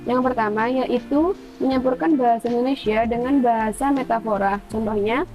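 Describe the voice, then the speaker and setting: A young woman from Central Java with a Javanese accent, reading a prepared university presentation in a steady, list-like way. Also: fairly high pitch, moderate pace, faint music behind, low-quality recording. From Purbalingga, Central Java.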